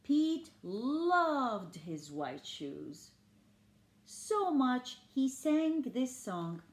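An older woman reads a children's picture book aloud in a fairly high, very animated voice. She is exaggerated and sing-song, addressing small listeners directly. The room adds noticeable echo around her. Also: drawn-out words. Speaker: storyteller reading to young children. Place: indoors in a living room. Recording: roomy home recording.

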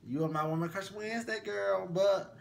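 A young man co-hosting a casual chat show, sassy and animated, his voice swinging up high, recorded in a small bedroom. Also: normal speed, creaky, slight background noise. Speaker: co-host.

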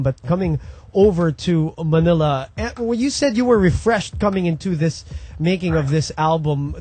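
Higher-pitched male radio interviewer. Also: darkish tone.

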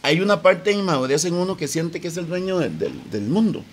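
A man's voice: fairly high pitch, expressive, fairly quick, a little hiss or hum. A man hosting a casual podcast, putting probing questions to the guest. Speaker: podcast host.

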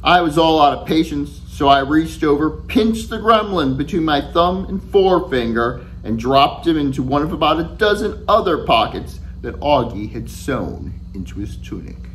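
A middle-aged man, an author reading his children's novel aloud to camera in an expressive, deliberate storytelling manner. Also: on the high side, slight background noise, rough recording. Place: furnished room at home, indoors.

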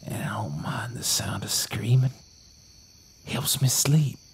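A man's voice: fairly low pitch, slow, creaky, a little hiss or hum. An actor playing a hot-tempered, profane outlaw who threatens his hostages. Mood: menacing. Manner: threatening, profane, bossy drawl. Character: menacing Southern outlaw man, hot-tempered kidnapper. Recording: background music under the dialogue.

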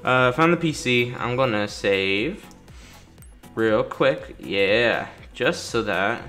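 A man's voice: mid-range voice, expressive, slow pace, fairly bright tone. A man doing casual, playful gameplay commentary, chattering in slang and talking to the game's characters. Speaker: gaming YouTuber doing a playthrough. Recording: light game music behind. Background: video game music.

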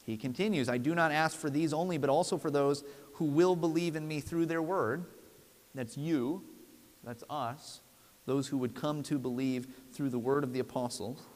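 Guy in his 30s giving a sermon, kinda high voice, big echoey church, earnest and emphatic. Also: moderate pace. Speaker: preacher. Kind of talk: sermon, expository preaching. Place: church sanctuary, at the pulpit. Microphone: lapel mic. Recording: long hall reverb.

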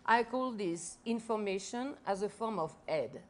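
A woman's voice: medium pitch, lively intonation, a little slow. A woman in her fifties from France with a French accent, speaking earnestly on a panel under a large tent, often restarting and repeating her words. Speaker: foundation head on a panel. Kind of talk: panel talk to a live audience. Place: large festival tent with audience.

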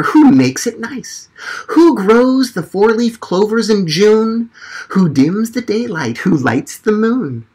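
A shy, dreamy field mouse poet from a storybook, played by a man, recites as if on a stage. The delivery is unhurried and theatrical, with a lively melody and a soft husky edge. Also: high-pitched, exaggerated voice.

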